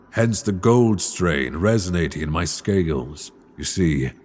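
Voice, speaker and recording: Man playing a deep, husky dragon king. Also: darkish tone, heavily acted. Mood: regretful. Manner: brooding, theatrical, measured storytelling with sighs. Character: ancient male dragon king, regretful, proud, wryly tender. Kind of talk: ASMR dark fantasy audio roleplay. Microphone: close binaural microphone.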